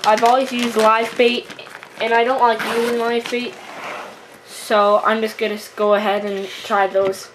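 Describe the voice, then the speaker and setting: Flat, halting young fishing vlogger, female. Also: fairly high pitch. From Canada. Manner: halting, casual. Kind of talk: casual product tour. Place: room at home with wooden floor. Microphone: camera microphone. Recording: noisy amateur camera recording, handling clicks.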